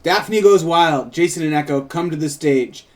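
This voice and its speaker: A man's voice: fairly high pitch, moderate pace. A man co-hosting a script read-through, switching between narrating scenes and casual chat about the script he co-wrote. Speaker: co-host and script co-writer.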